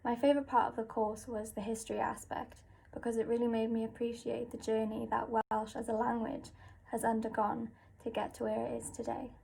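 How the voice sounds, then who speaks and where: A woman's voice: fairly high pitch, little pitch movement, brisk, gravelly, a little mellow, slightly rough, low-quality recording. A young woman student giving a composed testimonial.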